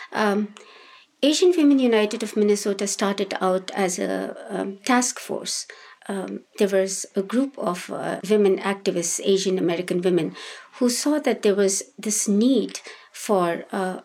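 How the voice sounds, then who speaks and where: A woman in her sixties from India, answering interview questions in a thoughtful, hesitant way with many pauses and restarts. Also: mid-range voice, creaky, darkish tone. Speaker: guest, domestic violence shelter worker.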